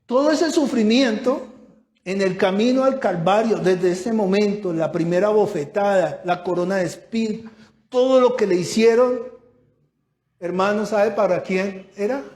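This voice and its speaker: A man's voice: high voice, unhurried, a little mellow. A male pastor preaching a sermon, asking the congregation questions and raising his voice for emphasis. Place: church hall. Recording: echoey church room.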